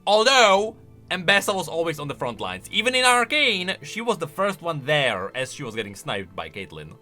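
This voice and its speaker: A man's voice: fairly high pitch, very animated, normal speed, bright, forceful tone, soft background music. A man giving casual, exclamatory reaction commentary, thinking aloud as a video creator.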